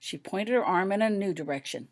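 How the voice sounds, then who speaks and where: A woman's voice: mid-range voice, a little fast. A woman reading her own story aloud as scripted audiobook narration.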